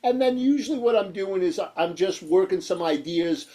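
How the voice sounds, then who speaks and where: A man's voice: sits high, animated, normal speed, warm tone. A man with a nasal New York accent chatting casually as a guitarist in an interview, stammering and repeating himself as he rambles. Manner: rambling, stammering, repeats words and says "you know" often. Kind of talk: casual chat.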